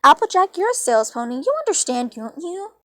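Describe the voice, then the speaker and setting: Woman voicing a smug, high-pitched male chaos villain. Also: big pitch swings, brisk, fairly bright tone, no room sound, studio quality. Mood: gleeful, sly. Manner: mischievous, mocking, wheedling.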